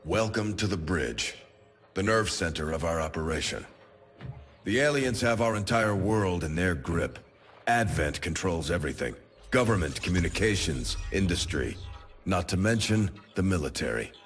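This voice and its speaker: An actor playing a businesslike, resolute military second-in-command in a video game, speaking in terse briefing style. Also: male voice, sits low, lively intonation, normal speed. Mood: resolute, stirring. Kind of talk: video game cutscene acting. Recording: long hall reverb, music behind. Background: music.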